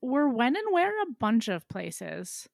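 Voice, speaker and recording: A woman co-hosting a podcast, chatting casually in a blunt, deadpan, teasing way. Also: fairly high pitch, measured pace, gravelly, no echo, studio quality. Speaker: podcast co-host. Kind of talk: casual banter.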